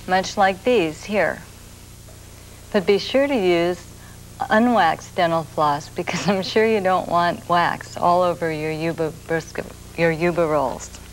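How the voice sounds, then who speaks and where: Soft-spoken woman hosting a cooking show. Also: fairly low pitch. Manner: slow, gentle and soft-spoken. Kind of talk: TV cooking demonstration. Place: TV studio kitchen set. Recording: grainy 1980s videotape with noise.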